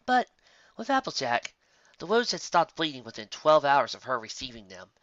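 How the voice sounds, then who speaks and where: A man reading scripted story narration as an amateur, expressive and occasionally stumbling over the text. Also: medium pitch, no room sound, lo-fi sound.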